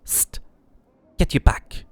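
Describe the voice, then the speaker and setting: A man's voice: slow pace, darkish tone, natural voice. A man voicing a scarred tiefling woman in a fantasy game, wild, snappish and grieving. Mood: snappish, wary.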